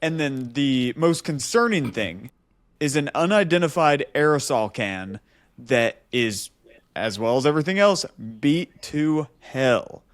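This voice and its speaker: A man's voice: mid-range voice, lively intonation, a little slow, dry recording, clean studio sound. A man co-hosting a podcast, telling an anecdote casually and animatedly, repeating phrases for emphasis. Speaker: podcast co-host.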